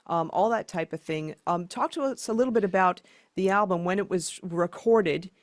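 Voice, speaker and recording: A woman's voice: on the low side, normal speed, no room sound, studio quality. A woman hosting a podcast interview, talking in a rambling way with many fillers. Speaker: podcast host. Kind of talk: interview chat.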